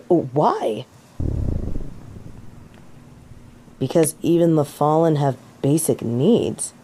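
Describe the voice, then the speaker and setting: A woman's voice: low-pitched, warm tone, slight background noise, heavily acted. A woman playing a snobby, indignant fallen angel in an audio roleplay, with pompous, formal, archaic phrasing and an easily affronted manner. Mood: flustered, confused. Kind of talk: scripted audio roleplay acting.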